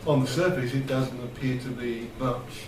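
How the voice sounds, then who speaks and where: A man speaking as a councillor in a meeting, measured and deliberating as he puts his view. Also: mid-range voice, steady tone. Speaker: parish councillor. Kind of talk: meeting discussion. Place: indoor parish council meeting room. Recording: distant mic, echoey room, clear noise.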